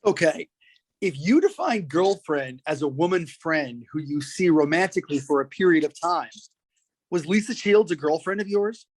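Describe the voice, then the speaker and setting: A man's voice: fairly high pitch, expressive, normal speed, slightly rough, rough recording. A man playing a persistent lawyer who puts insistent, clipped questions to a witness in a dramatic reading. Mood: probing. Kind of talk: dramatic reading of a deposition transcript.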